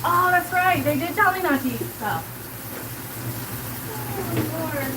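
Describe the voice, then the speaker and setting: A woman's voice: very high voice, animated, unhurried. A woman in her forties with a South Kansas accent, chatting casually on a live stream while cooking in her home kitchen, rambling and leaning on "like" as she answers her chat. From Colorado. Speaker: livestreamer. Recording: sizzling pan noise behind. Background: sizzling pan.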